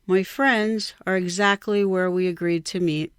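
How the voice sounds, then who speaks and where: A woman giving an expressive scripted reading of a personal short story. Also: fairly low pitch, measured pace, clear, resonant tone, dry recording, clean studio sound. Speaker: writer or reader of a short story.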